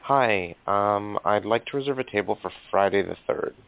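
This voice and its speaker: A man's voice: fairly low pitch, normal speed, creaky, clean tone. A male automated assistant making a polite booking call in slightly formal phrasing with small hesitations, over a phone line. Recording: tinny noisy phone line.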